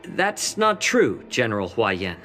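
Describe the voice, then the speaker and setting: A courteous retainer. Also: male voice, medium pitch, huge pitch swings, takes it slowly, sharp tone, faint music behind, heavily acted. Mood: polite. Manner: courteous, formal. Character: polite male retainer, formal and composed. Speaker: retainer, messenger. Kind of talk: game voice acting.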